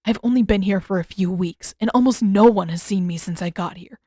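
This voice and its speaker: A woman's voice: mid-range voice, fairly quick, mellow, no room sound, close to an everyday voice. A woman narrator takes on a wary teenage boy from a fantasy tale. He is easily affronted and talks in a harsh, grumbling way, recorded dry and close. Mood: baffled.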